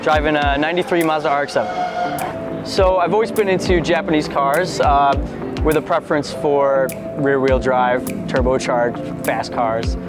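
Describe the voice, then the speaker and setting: A man's voice: a little high, steady tone, normal speed. A man, a race car driver, talking about his car with upbeat enthusiasm in a casual interview, a little away from the mic. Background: loud background music.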